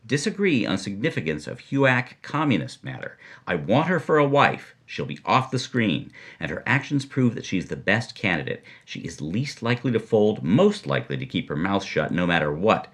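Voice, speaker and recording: A man's voice: on the low side, very animated, normal speed, few pauses, fairly bright tone. An actor playing an agitated man dictating an urgent office memo in a clipped, emphatic, telegraphic way for an audio drama. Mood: insistent, scheming. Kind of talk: scripted audio drama reading.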